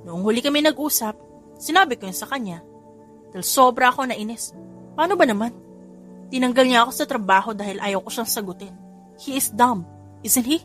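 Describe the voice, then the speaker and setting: Woman as proud plucky young romance heroine, a bit high, flustered easily, music under it. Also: expressive, a little fast, long pauses, a bit bright. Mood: indignant.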